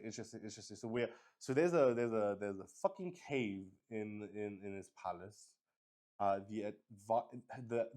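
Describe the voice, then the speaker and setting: Guy running a solo rpg, low relaxed voice, really slow, hesitates and thinks out loud. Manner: hesitant, pausing, thinking aloud. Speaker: solo tabletop roleplay host, game master. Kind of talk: improvised narration and thinking aloud for a solo tabletop game.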